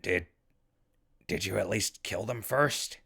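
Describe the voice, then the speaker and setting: Male actor as wasteland radio survivor: low, slightly gravelly, casual, dryly sarcastic, naturalistic. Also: takes it slowly, sharp tone, exaggerated voice. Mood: wry, curious. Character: wry, weary male wasteland survivor running a radio station. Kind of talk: naturalistic audio drama acting.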